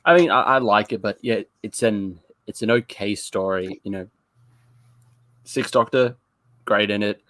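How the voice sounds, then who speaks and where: A man's voice: on the low side, a little slow, fairly bright tone, no echo. A man giving a casual review as a panelist, hesitant and often repeating his words. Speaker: audio drama review panelist.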